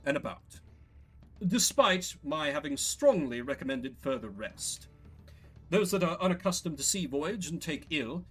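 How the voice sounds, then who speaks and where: A man's voice: a little high, pitched above the performer's own voice. A man voicing an earnest, fretful minstrel healer in a video game, with stiff, old-fashioned, wordy phrasing.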